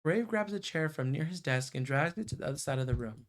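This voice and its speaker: A man's voice: a little high, expressive, fast talker. A man livestreaming as he reads a visual novel aloud in a narrating style. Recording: music behind the voice. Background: background music.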